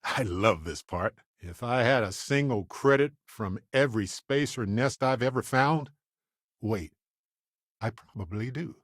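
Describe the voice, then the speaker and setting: An actor playing a chatty, good-natured space explorer in a video game, speaking in a playful, conversational way with wry expressiveness. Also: male voice, medium pitch, measured pace, no room sound, studio quality, natural voice. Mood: upbeat, playful. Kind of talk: video game companion voice lines.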